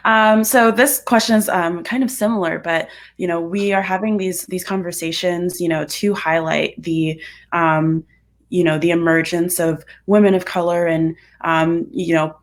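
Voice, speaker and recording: A young woman from Ohio interviewing a candidate, halting and full of fillers, over a video call. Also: fairly low pitch, steady tone. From Dayton area, Ohio, USA. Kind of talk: interview questions.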